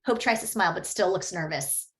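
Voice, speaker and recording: A woman reading a screenplay's stage directions aloud at a table read, steady and matter-of-fact. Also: on the low side, brisk.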